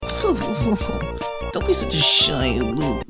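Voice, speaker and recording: A woman's voice: low voice, huge pitch swings, extremely slow, exaggerated voice. A woman voicing a gushing, clingy young anime-obsessed girl with coy, cutesy mannerisms in a dramatic reading. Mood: teasing. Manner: coy, breathy giggly cuteness with Japanese catchphrases. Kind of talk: dramatic reading of text messages. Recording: music behind the voice.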